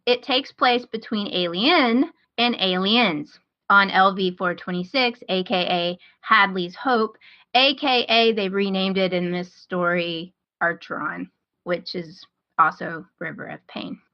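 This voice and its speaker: A woman's voice: mid-range voice, unhurried, no echo, highs cut off. A woman hosting a podcast, talking casually in a chatty, enthusiastic way about things she recommends. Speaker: podcast host.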